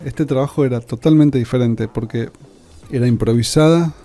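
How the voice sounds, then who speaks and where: Mellow, very soft-toned Argentine man. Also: mid-range voice, moderate pace. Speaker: radio scriptwriter. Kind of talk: interview reminiscence.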